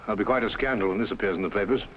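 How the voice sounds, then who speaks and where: Man as brisk gentleman doctor, muffled old radio. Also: fairly low pitch, a little hiss or hum, close to an everyday voice. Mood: sober, sympathetic. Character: genial gentleman doctor, the detective's sympathetic companion. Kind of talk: old-time detective drama acting. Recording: muffled old radio recording, faint music.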